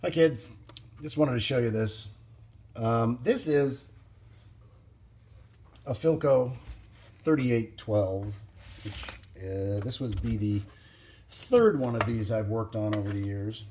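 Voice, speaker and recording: A man giving an informal, unscripted hands-on demonstration as a hobbyist, explaining things in a plain-spoken, halting way. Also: medium pitch, noisy background. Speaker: vintage radio hobbyist presenting a demonstration.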